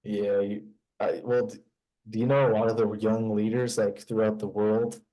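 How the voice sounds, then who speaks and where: A man co-hosting a podcast over a video call from home, talking bluntly and profanely and cracking deadpan jokes. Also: fairly low pitch, normal speed, poor audio. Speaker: podcast co-host. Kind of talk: casual chat.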